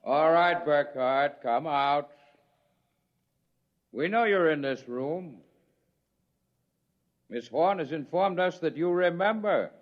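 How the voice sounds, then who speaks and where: Cold scheming scientist villain, condescending, higher pitched, 1950s radio play, muffled old broadcast. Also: male voice, slow pace, long pauses. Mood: menacing. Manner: calm, condescending, menacing. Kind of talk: 1950s radio drama acting.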